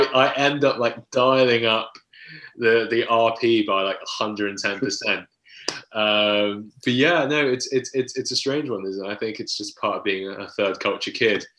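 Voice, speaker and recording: A man's voice: medium pitch, pushed and bright. A young man from Hong Kong with a British accent, speaking as a guest in a casual remote interview, self-deprecating and articulate. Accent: British English. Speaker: guest, creative industry worker. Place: room at home. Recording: roomy home room.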